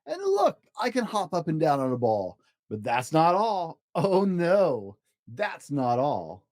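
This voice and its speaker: A man's voice: fairly high pitch, wildly swooping pitch, slow, darkish tone, no room sound, studio quality, heavily acted. A man voicing a playful, boastful trickster cat in a children's storybook, showy and breezy in sing-song rhyme. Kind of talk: children's storybook read-aloud.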